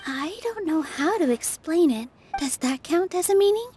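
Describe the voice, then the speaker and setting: An actress playing a gentle, sincere young dancer in a video game, polite, modest and earnest. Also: female voice, very high voice, animated, normal speed, a little hiss or hum. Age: young adult. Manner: polite, soft-hearted, earnest. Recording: music clearly playing behind.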